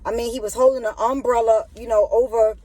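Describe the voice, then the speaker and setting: A woman's voice: fairly high pitch, fairly even intonation, fairly quick, dark tone, no room sound. A woman hosting a spiritual monologue who stutters and keeps asking the listener "you know what I'm saying". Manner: stuttering, repeats filler phrases. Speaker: channel host.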